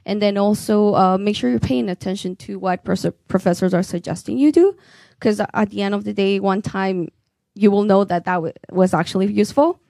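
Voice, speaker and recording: Young woman, earnest advice talk, gravelly edges, dry close sound. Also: medium pitch, moderate pace, warm tone, no room sound. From Ecuador. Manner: earnest, encouraging, many fillers. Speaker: graduate speaker. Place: conference room with windows. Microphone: handheld mic.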